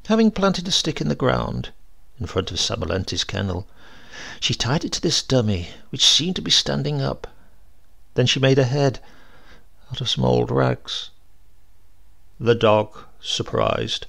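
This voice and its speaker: A man reading a classic short story aloud in a measured, dramatic storytelling manner. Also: medium pitch, creaky, a bit bright. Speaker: narrator.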